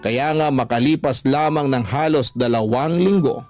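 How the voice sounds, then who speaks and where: Casting: male radio drama narrator, medium-pitched, reading first-person story script over a music bed. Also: moderate pace, darkish tone. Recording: music under the voice.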